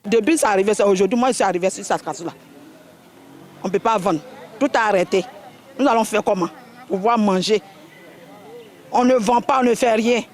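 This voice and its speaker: A woman's voice: medium pitch, a little fast, long pauses, a little mellow. A woman market vendor giving plaintive, spontaneous testimony full of rhetorical questions. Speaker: market vendor interviewed for news.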